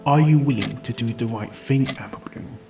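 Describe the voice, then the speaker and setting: A man playing a patient, probing pony therapist in an audio drama, speaking in a calm, measured and firm way. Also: mid-range voice, lightly acted. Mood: calm, pressing. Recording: roomy voice over background music.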